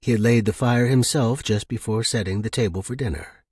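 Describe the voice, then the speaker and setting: A man's voice: fairly low pitch, brisk, darkish tone, husky, dry recording, clean studio sound. A man hosting a fiction podcast and narrating short stories. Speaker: podcast host and story narrator. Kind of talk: casual intro and scripted audiobook narration.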